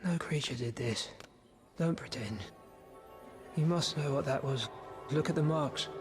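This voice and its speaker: An actor playing an awkward, earnest young wizard, insistent in manner, in a film scene. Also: male voice, medium pitch, a little slow, over music, natural voice. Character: awkward, earnest young British wizard who protects creatures. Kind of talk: film dialogue in a game cutscene. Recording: film score behind the voice.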